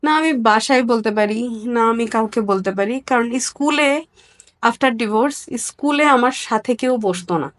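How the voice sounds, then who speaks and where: A young woman with a Bangladeshi accent giving a candid personal account in an interview, speaking earnestly and haltingly, with emphatic repetition. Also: fairly high pitch. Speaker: interview guest, nurse.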